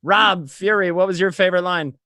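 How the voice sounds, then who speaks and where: A man's voice: high-pitched, brisk, sharp tone, no echo, studio quality. A man hosting a casual group Q&A, moving from one guest to the next. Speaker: host. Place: remote call from home.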